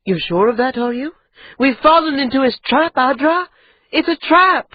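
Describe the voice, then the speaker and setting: A man voicing Ida'an, an anguished young noblewoman who is sharp and bitter, speaking in a high, strained voice. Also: very animated, normal speed, a little hiss or hum. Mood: frantic. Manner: high strained pleading, cutting sarcasm. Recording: dull lo-fi recording.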